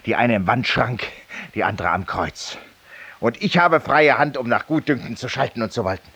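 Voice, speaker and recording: An actor playing a feverish, weary, self-styled judge-penitent in a 1950s radio drama, confiding ironically and theatrically in a silent listener. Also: male voice, medium pitch, animated, moderate pace, a bit bright, husky, no echo. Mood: triumphant, ironic. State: feverish and tired, with coughs.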